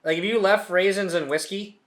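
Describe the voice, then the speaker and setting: A man's voice: high-pitched, fairly even intonation, brisk, a bit bright. A man co-hosting a whiskey review show, joking around and swearing casually. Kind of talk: casual tasting chat.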